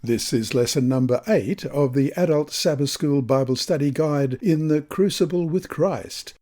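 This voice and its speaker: A man from Australia reading a scripted Bible study lesson aloud in a measured, deliberate, expressive way. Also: fairly high pitch.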